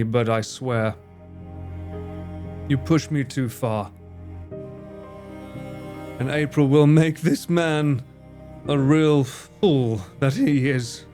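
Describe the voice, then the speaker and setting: A man's voice: medium pitch, steady tone, takes it slowly, long pauses, darkish tone, soft background music. A man streaming live, chatting casually with viewers in a joking, theatrical way and swearing freely. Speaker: streamer and cameraman.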